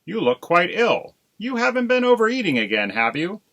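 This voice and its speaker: A pompous, timid husband crow, as a man reading a storybook puts him on, raised in pitch and fussy in tone. He sounds defensive and prim, with a bright, lively delivery. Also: normal speed, lo-fi sound, full character voice.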